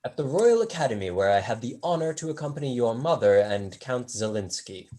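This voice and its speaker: A young man acting a courteous, drily witty tutor in a staged reading, formal and deferential, with a husky voice. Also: mid-range voice, big pitch swings, a little fast, dark tone. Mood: polite. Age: young adult. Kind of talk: staged reading of a period comedy.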